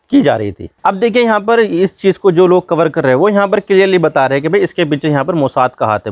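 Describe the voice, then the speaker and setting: Man, rapid speech, conversational news explainer, filler-heavy phrasing, dry sound. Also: on the high side, dry recording. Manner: conversational, repetitive filler phrases, addresses viewers as brother. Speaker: host of a current-affairs explainer channel. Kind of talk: informal news explainer, talking to viewers.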